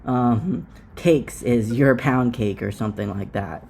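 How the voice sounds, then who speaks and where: A man conducting a casual family interview, speaking haltingly and rephrasing as he goes. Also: mid-range voice, vocal fry, a crowd in the background.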